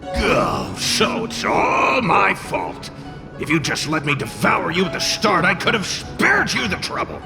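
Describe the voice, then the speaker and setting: A man voicing an arrogant, cranky little fire demon in a video game, gruff and blustering, always scolding. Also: high-pitched, wildly swooping pitch, a little slow, over music, heavily acted, low-quality recording. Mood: scornful, threatening. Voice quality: gravelly. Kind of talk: video game cutscene acting.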